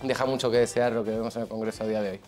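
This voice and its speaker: A man from Brazil, long settled in Spain, speaking as a political guest on a TV panel. His delivery is earnest and steady, and he clears his throat now and then. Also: lowish voice, racing, soft background music. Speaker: party leader, panel guest. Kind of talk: televised panel talk. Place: TV studio.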